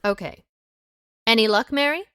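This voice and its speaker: An actress playing a confident, wry amateur sleuth who questions suspects briskly and methodically in an audio drama. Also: female voice, fairly high pitch, pushed and bright, clear, resonant tone, dry recording, clean studio sound. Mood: brisk, probing.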